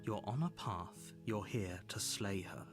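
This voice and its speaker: An actor playing a stern, insistent storyteller in a video game, narrating formally and emphatically to the player. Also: male voice, lowish voice, lively intonation, measured pace, soft background music. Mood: grave, measured. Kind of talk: second-person game narration.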